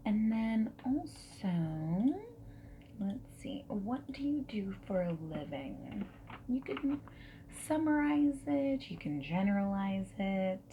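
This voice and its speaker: A woman doing a friendly, reassuring ASMR roleplay as a dating service consultant, soft-spoken and often whispering. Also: mid-range voice, sing-song, takes it slowly, muffled recording, slight background noise. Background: mechanical keyboard typing and clicks.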